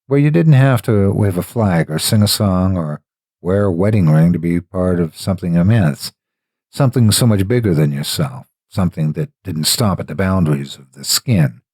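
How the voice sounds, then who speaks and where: A man narrating a scripted horror story with deliberate, ominous, dramatic phrasing. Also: sits low, dark tone, no room sound.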